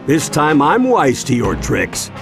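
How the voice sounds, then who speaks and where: An actor playing a wise, steady old American grandfather with a gravelly voice in a cartoon video game. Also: fairly high pitch, slow, over music, exaggerated voice. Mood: firm, wry. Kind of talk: animated game cutscene acting.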